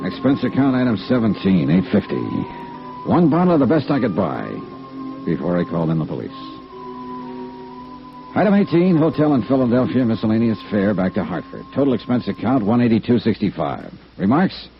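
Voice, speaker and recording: Wry hard-boiled american private investigator, clipped 50s radio noir, really swinging intonation, music behind. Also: male voice, lowish voice, big pitch swings, brisk. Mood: brisk, businesslike. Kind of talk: 1950s radio noir narration. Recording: dull vintage radio recording, music behind.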